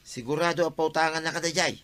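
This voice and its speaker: A man's voice: a little high, fairly even intonation, fast talker, fairly bright tone, close to an everyday voice. A man playing a lazy, shameless debtor in an Ilocano-accented comedy radio drama, brazen and dismissive. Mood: wheedling. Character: lazy, shameless jobless debtor, stubbornly flippant.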